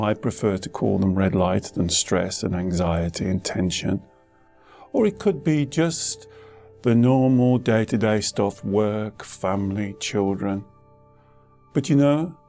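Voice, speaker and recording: Man, low relaxed voice, very soft-edged tone, guided meditation, short paused phrases, reassuring teacher. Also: creaky. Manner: unhurried, reassuring, pausing between short phrases. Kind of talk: guided meditation, gentle spoken instruction. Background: soft instrumental music.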